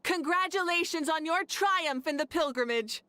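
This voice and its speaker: Bright, high-voiced celebratory young woman. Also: no room sound. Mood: proud, celebratory. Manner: ceremonious, measured, declarative. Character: confident, gracious young woman leading a festive toast. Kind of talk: video game voice acting.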